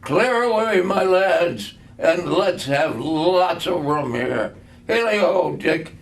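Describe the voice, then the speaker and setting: A man's voice: high voice, expressive, takes it slowly, pitched above the performer's own voice, exaggerated voice. A man voicing a jolly, fat old merchant in a storybook reading, calling out in a hearty, jovial, exuberant way. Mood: hearty, urging. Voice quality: oily, rich, jovial.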